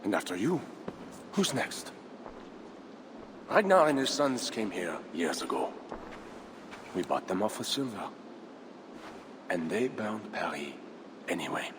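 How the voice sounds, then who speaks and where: A proud, shrewd Frankish count negotiating in a video game. His pitch swings dramatically through formal, pointed phrases. The voice rings in a long hall over faint ambience. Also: sing-song, noisy background. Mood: guarded, sardonic. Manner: formal, measured, pointed rhetorical questions.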